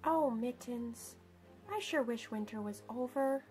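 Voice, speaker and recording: A woman's voice: on the high side, measured pace, darkish tone, soft background music, natural voice. A woman voicing a chilly, gloomy little schoolgirl from a picture book, plaintive and complaining. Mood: glum, wishful.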